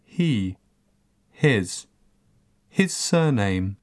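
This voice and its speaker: A man narrating a scripted language course recording, enunciating each word carefully and deliberately. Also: fairly low pitch, sing-song. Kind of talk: scripted language-lesson reading.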